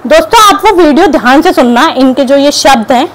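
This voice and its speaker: A woman's voice: sits high, expressive, fairly quick, crowd noise. A young woman vlogger giving combative reaction commentary to camera, scolding and sarcastic. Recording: clear background noise.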